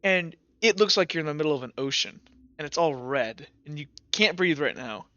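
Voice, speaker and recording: Give me a game master narrating a tabletop roleplay, describing scenes in long, winding sentences with lots of fillers. The voice is bright and sharp at a mid pitch. The lo-fi recording sounds dull and very dry. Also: animated, a little fast, dry recording.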